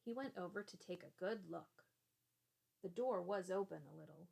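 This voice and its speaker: A woman teacher reading a children's storybook aloud in a deliberate, expressive way. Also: lowish voice, vocal fry, breathy edge, low-quality recording.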